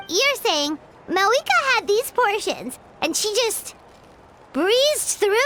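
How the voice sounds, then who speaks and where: A woman voicing a small, excitable, dramatic fairy companion with a squeaky, exclamatory delivery in a video game. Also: heavy background noise, full character voice. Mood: astonished, determined. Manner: squeaky, refers to self in third person, exclamatory.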